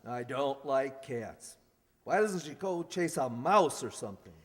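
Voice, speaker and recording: A grouchy middle-aged husband , acted by a man, grumbles and blusters his way through radio comedy. The delivery is slow, echoing in a big hall. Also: medium pitch, animated. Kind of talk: old-time radio comedy acting. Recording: reverberant hall recording.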